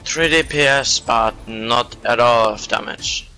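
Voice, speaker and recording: A man's voice: mid-range voice, a little slow, poor audio. Man making game callouts over music. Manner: calls out plays, complains about teammates. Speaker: gamer streaming a match. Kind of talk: casual game commentary and team callouts.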